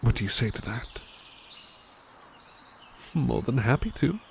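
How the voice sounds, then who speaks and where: A man voicing a doting butler in a soft-spoken ASMR roleplay, talking gently and soothingly with hesitant restarts. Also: medium pitch, animated, measured pace, gravelly, slight background noise.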